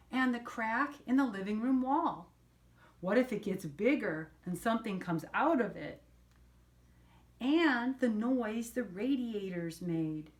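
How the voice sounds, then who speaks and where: Slow-paced older woman reading a storybook. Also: fairly high pitch. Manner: explains words and pictures to young listeners. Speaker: storyteller, grandmother reading to children. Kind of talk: children's picture book read-aloud with asides.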